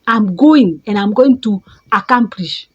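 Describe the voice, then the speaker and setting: A woman's voice: expressive, a little slow, slightly rough. Middle aged kenyan lady coaching, pushy and repeats stuff, kinda dull muffled audio. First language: a Kenyan mother tongue. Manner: emphatic, repeats words, jumps up in pitch for stress. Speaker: life coach. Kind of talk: motivational talk.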